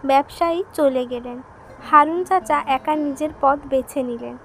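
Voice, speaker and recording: A woman's voice: sits high, measured pace, a bit bright, a little hiss or hum. A woman reading scripted narration for a children's moral cartoon story.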